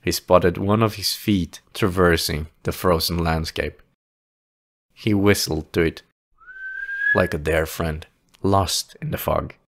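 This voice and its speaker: A man's voice: low-pitched, fairly even intonation, slow pace, pauses often, a bit bright. A man narrating a scripted fantasy audio play as a dramatic storyteller. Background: music.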